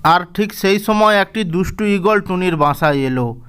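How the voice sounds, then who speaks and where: A man telling a cartoon fairy tale in a measured, gently didactic way. His voice sits high for a man and comes across smooth and resonant. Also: animated. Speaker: storyteller. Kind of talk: scripted storybook narration.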